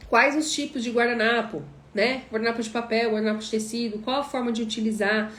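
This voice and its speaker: A woman's voice: fairly high pitch, steady tone, a little fast, fairly bright tone, reverberant. A woman from Brazil teaching on a live stream as a course mentor, speaking emphatically, repeating points and asking rhetorical questions.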